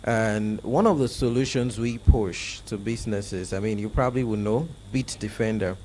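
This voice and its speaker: A man's voice: lowish voice, a little mellow, slight background noise. Guy in his 30s on a tech panel, lots of ums and restarts, handheld mic in a conference hall. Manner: halting, many fillers and restarts, grows more emphatic. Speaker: panelist, cybersecurity expert. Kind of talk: panel discussion answer.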